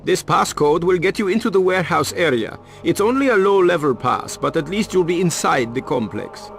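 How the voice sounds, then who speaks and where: An actor playing an eager, jittery reporter in a video game cut-scene, informal and animated, with a creaky edge. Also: male voice, a little high, moderate pace, soft background music. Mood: eager, businesslike. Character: eager, jittery male reporter hungry for a scoop.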